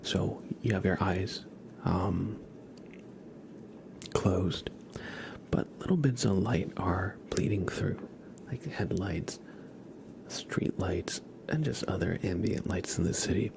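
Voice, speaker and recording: A man hosting an ASMR livestream, speaking in a soft, soothing whisper and chatting gently to his viewers. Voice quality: whispered, breathy, with low creaky murmurs. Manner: soft, slow, soothing, greets viewers one by one.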